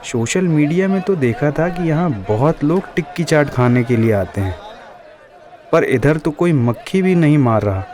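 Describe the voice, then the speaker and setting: A man's voice: fairly high pitch, expressive, moderate pace, a little mellow, close to an everyday voice. A man playing a tough, irritated young brawler hero in a comic audio drama.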